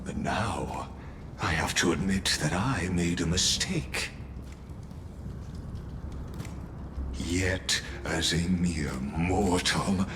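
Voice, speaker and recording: Slow, deep-voiced menacing male villain. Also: noisy background. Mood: condescending. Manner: slow, formal, theatrical threats. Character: cold menacing male villain, grandiose and condescending. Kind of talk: scripted video game acting.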